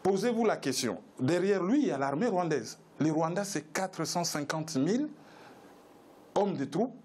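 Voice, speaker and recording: A man's voice: sits high, animated, normal speed, darkish tone, breathy edge. A man arguing as a political panel guest in an emphatic, rhetorical way, driving points home with repetition. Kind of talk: political debate commentary.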